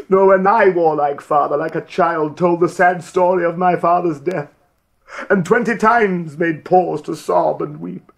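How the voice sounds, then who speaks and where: A shakespeare villain nobleman wooing, high voice, slow and declamatory, insinuating. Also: creaky, full character voice. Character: scheming nobleman, silver-tongued, fervently wooing a widow. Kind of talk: classical Shakespearean stage-style verse acting.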